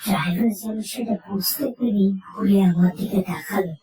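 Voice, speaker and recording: A woman telling a horror story in the first person, in a slow and tense manner. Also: mid-range voice, a crowd in the background. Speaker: narrator. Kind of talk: scripted horror story narration. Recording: echoey, distant, under music.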